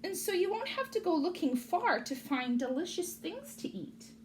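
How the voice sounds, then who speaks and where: A woman's voice: extremely high pitch, very animated, normal speed, noisy background, voice pushed up. A woman voicing an eager, friendly little mouse in a children's storybook. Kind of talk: children's storybook read-aloud.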